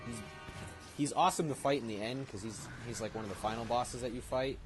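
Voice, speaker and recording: A man's voice: medium pitch, fairly quick, rough recording. A young man giving casual let's play commentary in a slangy, offhand, joking way. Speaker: gaming video host. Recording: loud game music and effects behind voice. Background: video game music and sounds.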